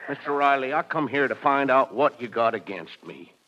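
Casting: man as earnest, aggrieved American dog catcher, nervous and plaintive, medium pitch, dull old radio sound. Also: expressive, normal speed, close to an everyday voice. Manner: nervous throat-clearing, plaintive. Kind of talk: 1940s radio comedy acting. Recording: dull vintage radio recording.

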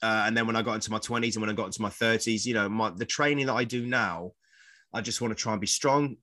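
Fast-talking man in his forties. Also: on the low side, pushed and bright. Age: 40s. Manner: rambling, repeats words, acts out quoted voices. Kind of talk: casual podcast chat.

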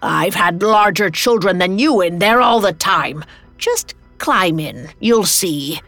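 A woman's voice: on the low side, lively intonation, slow pace, fairly bright tone, no room sound, faint music behind, full character voice. A woman voicing a cruel, impatient old witch in a storybook tale, menacing, scornful and demanding. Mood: scornful, angry.

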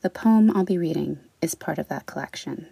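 Mellow woman with creaky voice. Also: low-pitched, a little fast, no echo. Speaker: poet, writer. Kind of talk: spoken introduction and poetry reading.

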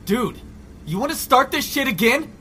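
A man's voice: very high-pitched, sing-song, normal speed, pushed and bright, breathy edge, full character voice. An actor playing a defiant, foul-mouthed civilian survivor, a combative man in a zombie audio drama. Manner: combative, profane.

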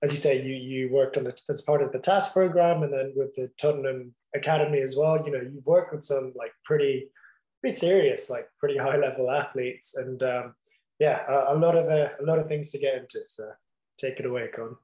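A man co-hosting a casual podcast, with a mellow, warm-toned voice. He rambles a little, stacking fillers as he talks. His mic picks up a roomy echo. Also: mid-range voice, fairly even intonation, fairly quick. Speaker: podcast co-host.

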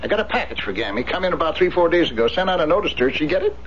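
An actor playing a chatty, nosy small-town feed store clerk in a 1970s radio drama, folksy and stammering. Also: male voice, on the high side, sing-song, very fast. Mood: nosy, friendly. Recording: very noisy, dull 1970s radio recording.

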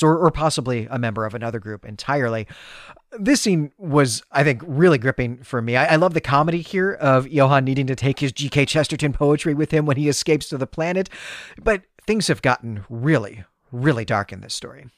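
A man's voice: medium pitch, no echo, studio quality. A man co-hosting a podcast, recapping a story conversationally with explanatory asides. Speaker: podcast co-host.

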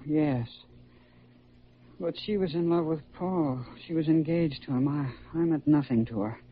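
Man acting a haunted, halting young radio-drama veteran. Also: on the high side, slight background noise, close to an everyday voice. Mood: subdued, wistful. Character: guilt-ridden, tormented young male war veteran under sedation. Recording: dull old radio recording with music.

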